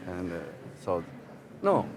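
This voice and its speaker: A young man talking as a pianist guest. He speaks haltingly and searches for his words. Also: deep voice, crowd noise. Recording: steady background noise.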